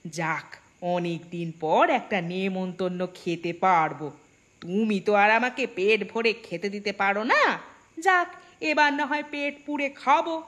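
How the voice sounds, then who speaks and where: A woman playing a gluttonous, quarrelsome village wife in a cartoon, sharp-tongued and quick to take offence. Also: medium pitch, natural voice. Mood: eager. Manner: scolding, indignant. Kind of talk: Bengali cartoon dialogue. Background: prominent background music.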